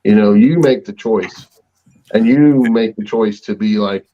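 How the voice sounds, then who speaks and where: A man with a lowish voice speaks plainly and matter-of-factly as a podcast co-host. His audio comes through dull and lo-fi. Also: unhurried. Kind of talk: casual podcast talk.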